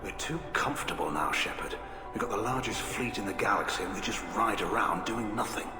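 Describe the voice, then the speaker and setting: A lowish, gruff voice of a hawkish alien admiral in middle age, acted by a man for a video game. He speaks in a blunt, clipped, wry way, in an echoing hall with music behind him. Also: lively intonation, fairly quick, natural voice. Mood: grim, determined.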